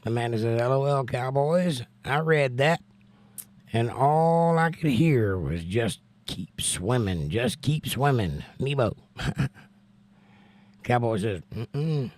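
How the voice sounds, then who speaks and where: An American man hosting a casual livestream and reading out viewer comments, hoarse from laryngitis, folksy and joking with preachy asides. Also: mid-range voice, expressive, takes it slowly, darkish tone, dry recording. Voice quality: hoarse, strained. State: laryngitis, losing his voice. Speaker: livestream host.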